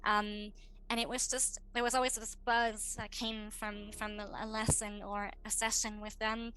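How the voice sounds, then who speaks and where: A woman's voice: mid-range voice, level tone, sharp tone, rough recording. A German woman in her late twenties, chatting enthusiastically as a guest in a casual interview and laughing easily. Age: 20s. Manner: enthusiastic, bubbly, quick to laugh.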